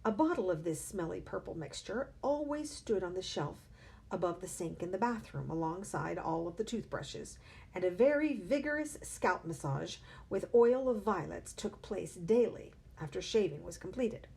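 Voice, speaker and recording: A woman, a teacher, reading a children's novel aloud with expressive storytelling. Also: fairly low pitch, normal speed, vocal fry.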